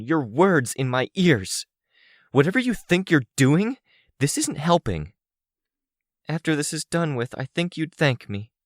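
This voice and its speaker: A man's voice: a little high, no room sound, studio quality, voice pushed up, close to an everyday voice. A man voicing a wounded, furious young heroine in an audiobook, with bitter, emphatic, clipped phrasing. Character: wounded, furious young woman, intense first-person heroine.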